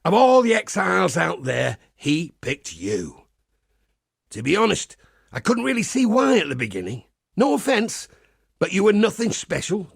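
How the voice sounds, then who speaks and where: A man voicing a pious, wry crusader priest in a video game, with a husky, gravelly voice and a measured, folksy preacher's manner. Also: fairly high pitch, dry recording, clean studio sound, exaggerated voice. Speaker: game character. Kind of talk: scripted game character monologue.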